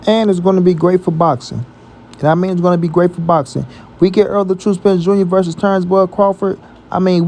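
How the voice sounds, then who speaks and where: American male sports vlogger, higher-pitched and mellow, slangy and emphatic, casual opinionated commentary. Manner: emphatic, repetitive, slangy with frequent check-in tags. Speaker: boxing commentary channel host.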